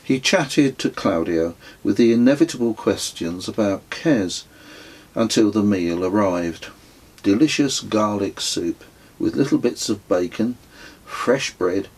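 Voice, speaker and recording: A man's voice: lowish voice, darkish tone, breathy edge, rough recording. A middle-aged man reading his own travel memoir as an audiobook. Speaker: author reading own book.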